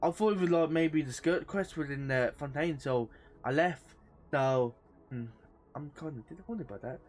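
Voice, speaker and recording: A woman's voice: low-pitched, normal speed, a little mellow. A woman giving loose, rambling playthrough commentary as a game streamer, casual and sweary, sometimes reading game text aloud. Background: game music.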